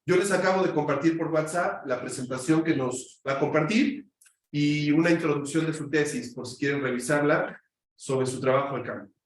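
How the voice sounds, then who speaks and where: A man's voice: a little high, normal speed, a little hiss or hum. A man teaching a university class, casually calling his students closer and introducing a guest. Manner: informal, coaxing students to come closer. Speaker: university teacher, host of the session. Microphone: far room mic. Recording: echoey classroom from far off.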